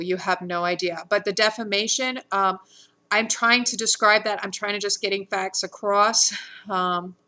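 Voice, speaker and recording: A woman talking in an unscripted monologue, rambling and halting with many fillers and restarts, earnest and insistent. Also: medium pitch, sharp tone. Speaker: vlogger.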